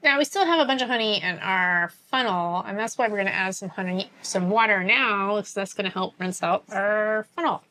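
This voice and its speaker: Bright-voiced woman co-hosting a brewing show. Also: medium pitch. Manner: chimes in with quick additions and jokes. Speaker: co-host. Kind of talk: casual instructional chat. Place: home studio with shelves of bottles.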